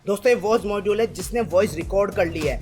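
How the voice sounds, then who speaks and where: A man's voice: high-pitched, quick pace. Music behind, middle-aged man, Indian accent, casual vlog chat, informal coaxing delivery, thinking aloud. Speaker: father hosting a family DIY electronics vlog.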